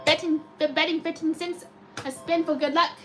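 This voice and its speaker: High, robotic-sounding woman streamer. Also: normal speed, soft background music. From United States. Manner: chatty, excitable cheering at spins. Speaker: slot machine live streamer. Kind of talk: live stream commentary reading chat.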